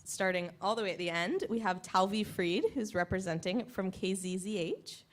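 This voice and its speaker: A woman's voice: medium pitch, normal speed. Woman moderator opening a live panel. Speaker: panel moderator, community radio host. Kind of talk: live panel introduction to an audience. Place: live event at a playhouse, on a live stream.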